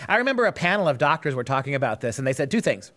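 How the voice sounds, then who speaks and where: A man's voice: mid-range voice, very animated, rapid-fire, fairly bright tone. A man hosting a talk show, sarcastic and emphatic. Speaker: host.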